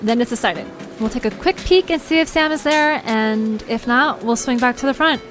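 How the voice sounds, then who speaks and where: Woman as plucky young adventurer, high voice, eager, impulsive, plain-spoken, audio drama, faint music underneath. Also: moderate pace, fairly bright tone, close to an everyday voice.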